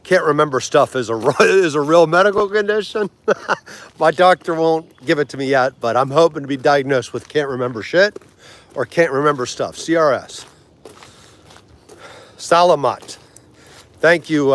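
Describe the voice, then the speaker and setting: A man, hosting a casual livestream, laughing easily and chatting with viewers. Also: on the high side. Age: 40s. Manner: chatty, laughs easily, tries foreign words slowly. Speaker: livestream host.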